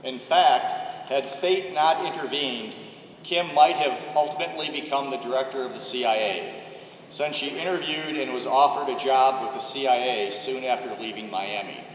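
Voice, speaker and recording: A man, a college dean, reading a measured, formal introduction of a guest speaker from a podium microphone in a large ceremony hall. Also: medium pitch, slight background noise. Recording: echoey, dull hall recording.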